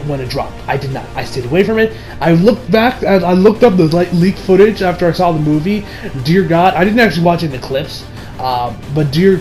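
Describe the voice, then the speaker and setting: Young male reviewer, high voice, casual and rambling delivery, music underneath. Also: darkish tone. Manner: rambling, repeats and rephrases himself. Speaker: movie reviewer. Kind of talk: casual spoken review over gameplay.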